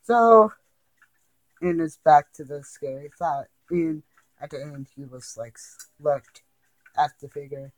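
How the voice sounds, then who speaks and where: Slow, halting amateur male horror storyteller. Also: a little high, no room sound, low-quality recording. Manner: halting, rambling, repetitive delivery. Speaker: amateur storyteller reading a creepypasta. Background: ticking and chiming clock.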